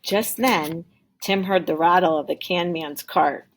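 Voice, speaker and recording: A woman reads a children's story aloud in a low voice with a gravelly, husky texture. Her storytelling is deliberate and emphatic, as a teacher reads to a class. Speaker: teacher reading a picture book aloud. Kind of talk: expressive storybook read-aloud.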